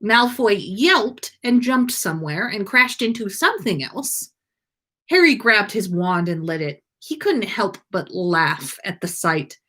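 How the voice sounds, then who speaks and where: A woman reading fan fiction aloud as a podcast host, in an expressive narrating style. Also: mid-range voice, a little slow. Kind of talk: expressive story narration on a livestream.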